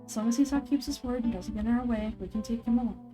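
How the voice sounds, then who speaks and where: A woman's voice: on the high side, quick pace, music in the background, close to an everyday voice. A woman voicing a stern, terse and vigilant male investigator in a video game. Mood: guarded. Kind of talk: video game dialogue read-along.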